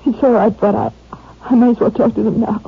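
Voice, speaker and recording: Tearful, high-voiced grieving young woman. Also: a little fast, gravelly, slightly rough, full character voice. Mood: distraught, pleading. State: tearful, distraught. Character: grief-stricken, fragile but protective young woman. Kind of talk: old-time radio melodrama acting. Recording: tinny old radio recording.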